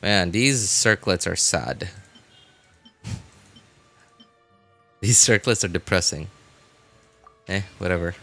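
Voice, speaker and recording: A man's voice: low-pitched, drawn-out words, gravelly, long pauses, bright, forceful tone, soft background music. A man livestreaming a video game, chatting casually with viewers in a laid-back, informal way and muttering asides to himself. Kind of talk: casual gaming stream commentary, chatting with viewers.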